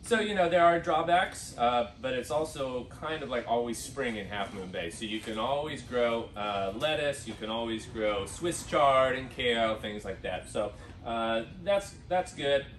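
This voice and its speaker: A middle-aged man who is an organic farmer, answering interview questions in a relaxed, plain-spoken way with many fillers, recorded outdoors on a farm. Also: mid-range voice, unhurried, few pauses, slight background noise. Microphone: camera mic from a distance. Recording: roomy, distant outdoor sound.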